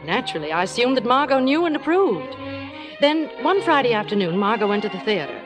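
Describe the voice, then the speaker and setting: A woman's voice: fairly high pitch, very animated, a little fast, music in the background. An actress playing a kindly, confident married woman with a reassuring, genteel manner in a vintage radio drama. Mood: narrating. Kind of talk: 1940s-50s radio acting and narration.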